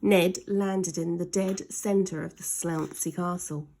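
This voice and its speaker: A woman's voice: on the low side, steady tone, slow pace, vocal fry, background noise. A woman reading a children's story aloud expressively, stretching key words for dramatic emphasis.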